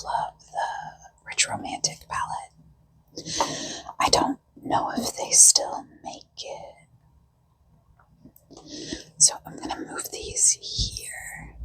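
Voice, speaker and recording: A woman who has lost her voice to a cold, talking casually in a breathy, husky whisper as she thinks aloud while hosting a hobby vlog. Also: bright, forceful tone, rough recording. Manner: informal, unhurried, musing. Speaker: host of a makeup video.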